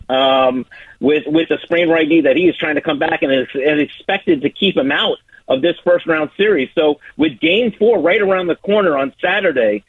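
A man's voice: on the high side, slightly rough, no room sound. A man giving an unscripted sports report over a thin, muffled phone-like line.

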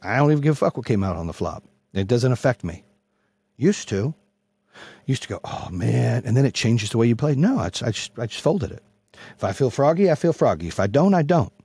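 A man giving a brash, profane, emphatic motivational monologue in a husky voice, repeating phrases for punch. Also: mid-range voice, big pitch swings, fairly quick, dark tone, dry recording. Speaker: motivational speaker and host.

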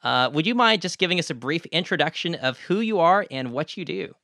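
A man's voice: fairly high pitch, lively intonation, a little fast, gravelly, bright, forceful tone, dry recording, clean studio sound. A man hosting a podcast, introducing episodes and interviewing a guest.

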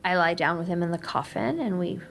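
An actress playing a nervous young American woman confiding something intimate in a halting, self-conscious, naturalistic audio drama monologue. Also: fairly low pitch, gravelly, fairly bright tone, a little hiss or hum. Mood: intimate, absorbed. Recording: music under the voice. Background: music.

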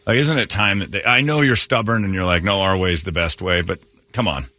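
A man's voice: sits low, a little fast, pushed and bright, dry recording. A man hosting a radio morning show, riffing in blunt, mocking comic banter. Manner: blunt, mocking, breaks into put-on voices.

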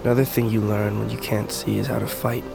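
An actor playing a gravely wounded, fatalistic blind tribesman in an audio drama, speaking weakly and haltingly as he reflects. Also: lowish voice, level tone. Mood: weary, resigned. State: wounded, weak, halting breath. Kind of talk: audio fiction drama acting. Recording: music bed under the dialogue.

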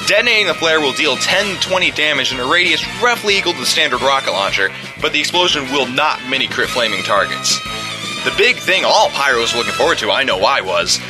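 A man reading a scripted video game review segment in an explanatory way. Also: medium pitch. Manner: clear, explanatory delivery. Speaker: segment contributor. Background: guitar music.

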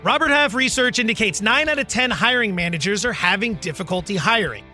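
Bright-voiced man reading an ad. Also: fairly quick, faint music behind. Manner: polished, upbeat pitch. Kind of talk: radio ad read.

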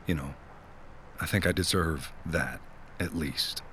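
A man's voice: rumbling low, slow, a bit bright, slight background noise, heavily acted. An actor playing a heartbroken, awkward night-shift worker in his twenties, downcast and hesitant, in a naturalistic audio drama. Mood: hurt, pleading. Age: 20s.

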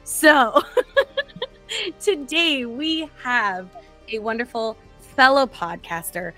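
A woman's voice: on the high side, animated, slow, pushed and bright, soft background music. A woman hosting a podcast, chatting casually and bubbly, often laughing as she talks. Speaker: podcast host. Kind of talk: casual interview chat.

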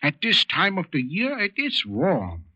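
A folksy, rambling old farmer. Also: male voice, on the high side, huge pitch swings, a little slow, bright, forceful tone, slightly rough, full character voice. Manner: rambling, folksy, repeats himself. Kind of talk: old-time radio drama acting. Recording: dull, noisy old radio recording.

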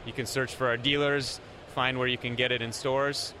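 A man representing a company, explaining product features in a steady way with frequent pauses. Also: mid-range voice, a little hiss or hum.

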